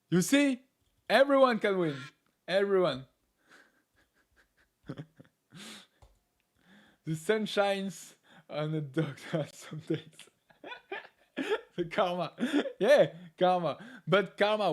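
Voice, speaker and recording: A man hosting a live stream, chatting casually in English with a non-native accent, laughing often and filling pauses with "uh". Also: sits high. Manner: laughs often, talks with many "uh" fillers. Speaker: livestream host.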